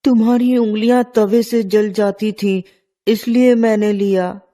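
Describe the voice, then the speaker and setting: A young village boy, acted by a woman in a storybook tale, earnest, brave and proud. The voice is mellow and unhurried, with a caring, determined manner. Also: mid-range voice, fairly even intonation, lightly acted. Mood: gentle, earnest. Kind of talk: children's storybook dramatisation.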